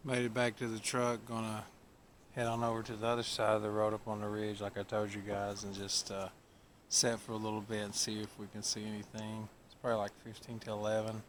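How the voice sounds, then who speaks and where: A man's voice: lowish voice, monotone, brisk. A man hosting an outdoor vlog, explaining things casually to camera with folksy, hesitant phrasing, recorded outside in the woods. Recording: noisy outdoor camera audio.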